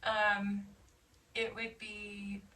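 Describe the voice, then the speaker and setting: A woman's voice: medium pitch, completely flat delivery, extremely slow, sharp tone. A woman in her fifties chatting casually as she recalls her past in an interview. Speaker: veteran being interviewed. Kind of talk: casual recollection. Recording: distant mic with faint background noise.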